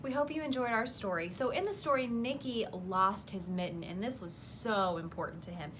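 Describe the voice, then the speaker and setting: A woman's voice: medium pitch, moderate pace, fairly bright tone, a little hiss or hum. A woman hosting a children's story program, speaking directly to young listeners. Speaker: host of a bedtime story program. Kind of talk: addressing children, presenting.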